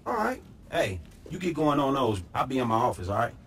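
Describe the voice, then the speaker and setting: A man's voice: mid-range voice, very animated, brisk, a bit bright. A man playing a confident, streetwise ex-hustler turned businessman in a video game, with slangy, swaggering speech. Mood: placating. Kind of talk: video game cutscene acting.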